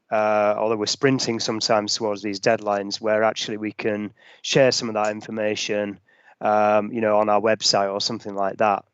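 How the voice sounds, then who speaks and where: Council officer, going hoarse, halting and full of fillers; smooth dry tone through a lo-fi headset. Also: male voice, on the low side, no room sound. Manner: hesitant, many fillers and restarts. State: losing his voice. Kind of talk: answering questions in an online council meeting. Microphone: headset on a video call. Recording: dull lo-fi video call audio.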